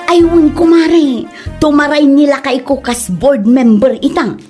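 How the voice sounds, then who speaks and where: A woman's voice: high voice, steady tone, unhurried, over music. A woman with an Ilocano accent playing a gossipy, blunt village woman in a comedy drama. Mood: bragging gossip. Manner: exclaiming, nagging, blunt.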